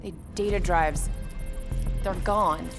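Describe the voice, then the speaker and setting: An actress playing a brisk, capable female intelligence agent in a military video game, giving clipped, technical briefings. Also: on the low side, steady tone. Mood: grave, informative. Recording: game music behind the voice.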